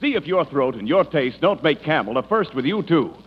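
A man's voice: fairly high pitch, sing-song, fairly quick, very noisy. An American man announcing in 1940s radio style with showy, emphatic delivery. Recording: dull, reverberant vintage radio recording. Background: loud orchestra music.

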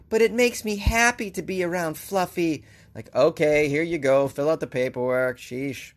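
A man with a Boston accent hosting talk radio, ranting sarcastically about the news and laughing often. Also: mid-range voice. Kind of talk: opinionated news commentary.

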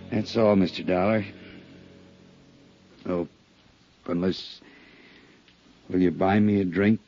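An actor playing a broken, drunken has-been violinist in a 1950s radio drama, bitterly remorseful, weary and halting. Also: male voice, low voice, fairly even intonation, dark tone, no echo. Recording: dull vintage radio recording, music behind.

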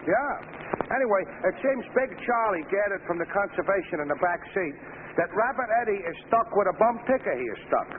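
An actor playing a chatty, dim-witted cab driver with slangy New speech in a 1940s radio drama. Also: male voice, high voice, animated, brisk, narrow, tinny audio. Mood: gossipy. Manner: slangy, repeats his phrases at sentence ends. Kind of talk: 1940s radio comic-relief acting. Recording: thin old radio broadcast, some hiss.

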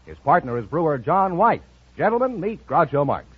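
An American male radio announcer with a high, very animated voice. His delivery is formal and showy, in 1950s style, on a dry, dull old broadcast recording. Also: moderate pace, slightly rough. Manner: formal, polished showman delivery.

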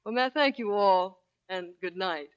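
A woman's voice: medium pitch, moderate pace, very noisy. A woman Navy officer speaking as a guest in a scripted 1940s radio interview. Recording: dull lo-fi 1940s radio recording.

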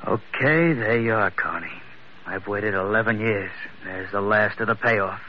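Vengeful, gloating heavy played by a man, lowish voice, 1940s radio melodrama, faint hiss. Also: measured pace, natural voice. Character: grim, vengeful man gloating over long-awaited payback. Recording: muffled old radio recording, faint hiss.

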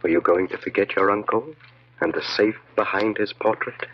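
Evil genius, insinuating, commanding, deep voice, muffled vintage radio recording. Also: male voice, fairly even intonation, normal speed, husky. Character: sinister male evil genius, a voice within the mind. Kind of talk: 1940s radio horror acting.

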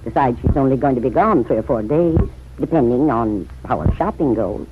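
A woman's voice: rumbling low, expressive, a little fast, background noise. Kindly motherly woman, muffled old radio. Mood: unworried. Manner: motherly, gentle. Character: kindly, unruffled older mother and aunt. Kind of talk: old-time radio drama acting. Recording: muffled vintage radio recording.

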